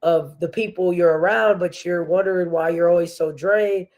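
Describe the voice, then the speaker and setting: A woman's voice: fairly low pitch, steady tone, darkish tone. A woman hosting a faith-based podcast, talking earnestly with a stuffy, congested nose and frequent fillers. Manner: slow, earnest, with many fillers and restarts. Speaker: podcast host.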